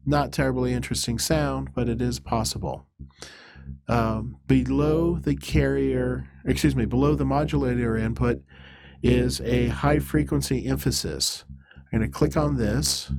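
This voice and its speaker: A man presenting an instructional software demo in a halting, methodical, step-by-step way. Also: mid-range voice, fairly even intonation, vocal fry.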